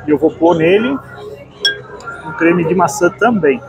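A man's voice: high-pitched, measured pace, muffled recording, music in the background. A man in his fifties with a Brazilian Portuguese accent, tasting dishes in a busy restaurant and humming with pleasure at each bite. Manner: savoring, relishing each bite with hums. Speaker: food vlogger. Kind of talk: casual food tasting vlog. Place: large busy open-air restaurant.

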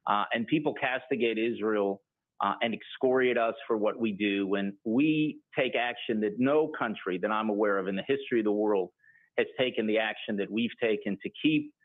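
Middle-aged American male guest, deliberate arguer, tinny phone-like audio. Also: fairly low pitch. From United States. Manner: deliberate, argumentative, rhetorical questions. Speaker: political guest. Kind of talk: measured political argument in interview. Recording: tinny broadcast audio re-recorded.

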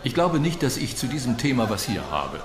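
A man's voice: medium pitch, very animated, fairly quick, roomy sound, a crowd in the background, lightly acted. An actor playing a skeptical male observatory director with a dry, teasing, dismissive manner in docudrama dialogue.

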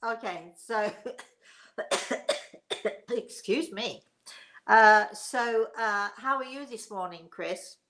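A woman's voice: a little high, extremely slow, long pauses, fairly bright tone. A middle-aged woman from England with an English accent, chatting and telling anecdotes as a host in a rambling, chuckling way. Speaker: host of a live story session. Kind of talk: casual chat and personal anecdotes. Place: living room, indoors.

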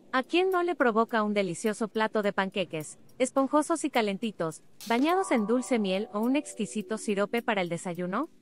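A woman reading a scripted recipe voice-over in a smooth, upbeat, evenly paced way. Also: on the high side. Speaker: recipe narrator. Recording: clear studio voice-over over music.

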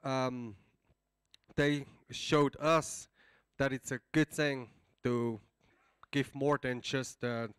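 A man's voice: mid-range voice, fairly even intonation, extremely slow, long pauses, fairly bright tone, clear, resonant tone, no echo, clean studio sound. A man in his thirties with a Central European accent, giving a welcome speech as a conference host. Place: conference room before an audience. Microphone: handheld microphone held close.

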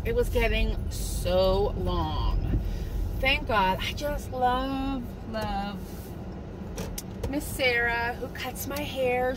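A middle-aged Midwestern woman chats to a dashboard camera while driving, rambling and correcting herself in an upbeat way. Her voice sits a little high. Loud road and engine noise rumbles under everything. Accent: Midwestern American. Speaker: vlogger. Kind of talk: casual chatty vlog. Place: inside a car. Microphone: camera mounted on the dashboard.

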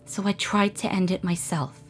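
A woman voicing a melancholy, guarded young female alien water gem in a cartoon dub, wistful and halting. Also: mid-range voice, breathy edge, soft background music, lightly acted. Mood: regretful. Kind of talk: dramatic cartoon comic dub.

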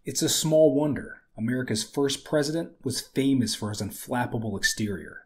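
A young man with a slightly raspy, hoarse voice, hosting a history channel and narrating from a script with wry conversational asides. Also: medium pitch, normal speed. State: recovering from illness, slightly hoarse.

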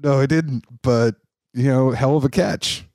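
A man's voice: mid-range voice, a little slow, a little mellow, no room sound, clean studio sound. A man from Massachusetts with an American accent, chatting casually and teasingly as a podcast host. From Massachusetts, United States. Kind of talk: casual interview chat.

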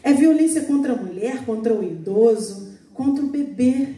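A Brazilian woman reciting a poem on a small auditorium stage, declaiming slowly with sharp rises on key words. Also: on the high side, animated. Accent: Brazilian Portuguese. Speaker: poet. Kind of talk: dramatic poetry recitation. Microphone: stand mic, recorded by a far camera. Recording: echoey auditorium, far from the mic.

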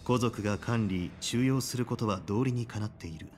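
Actor as male fantasy-game general: low, composed, deliberate, formal, with music underneath. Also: natural voice. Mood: measured, formal. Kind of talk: restrained game voice acting.

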